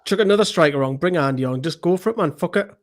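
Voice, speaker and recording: A man co-hosting a live fan stream, calling the match and yelling at the screen, swearing freely. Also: fairly high pitch, animated, quick pace. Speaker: fan channel co-host. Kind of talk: excitable live match commentary.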